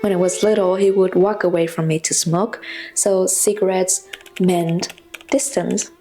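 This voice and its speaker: A woman in her twenties, a non-native English speaker, narrating a reflective, scripted personal story in a confessional, measured way. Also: lowish voice, fairly even intonation, mellow, soft background music. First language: not English. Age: 20s. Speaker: personal vlogger.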